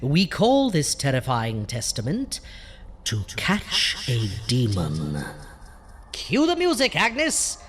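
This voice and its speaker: An actor playing a vain, theatrical ghoulish horror host in a campy radio show, full of pompous punning patter. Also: male voice, mid-range voice, huge pitch swings, takes it slowly, a bit bright, slight background noise, full character voice. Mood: macabre relish.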